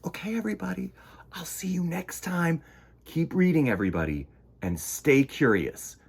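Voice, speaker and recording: A man hosting a children's storytime, reading aloud in a theatrical, exclamatory way that moves between hushed and loud. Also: fairly high pitch, animated, normal speed, creaky, husky. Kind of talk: animated picture-book read-aloud for children.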